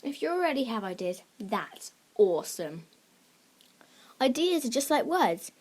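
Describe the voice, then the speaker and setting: A woman with a fairly high voice presents a scripted lesson for young listeners. She teaches creative writing in simple, encouraging language, addressing children directly as their host. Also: big pitch swings, unhurried, darkish tone. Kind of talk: scripted instructional narration for children.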